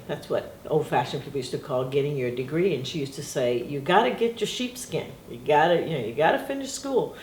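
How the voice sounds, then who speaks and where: A woman's voice: rumbling low, a little mellow. Middle-aged woman, first language Portuguese, rambling and reflective, recorded in an echoey room with faint hiss. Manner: rambling, reflective, laughs often. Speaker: interviewee. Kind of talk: casual reminiscing interview.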